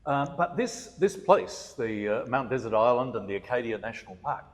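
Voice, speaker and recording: A man with a loud, projecting voice, courteously hosting a conversation with an artist at a gallery. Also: medium pitch, very animated, brisk. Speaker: host interviewing an artist. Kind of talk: gallery talk, casual conversation. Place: art gallery, large room. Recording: long reverb in a gallery hall.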